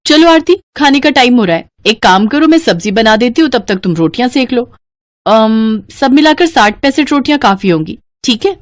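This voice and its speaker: A woman's voice: fairly high pitch, expressive, normal speed, no echo, pitched above the performer's own voice, natural voice. A woman voicing a bossy, breezy elder sister-in-law in a cartoon family story.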